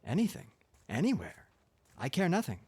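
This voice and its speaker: Man playing an eager, lively young newspaper reporter. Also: on the high side, normal speed, breathy edge, no echo, voice pushed up, full character voice. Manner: earnest, deferential, keen.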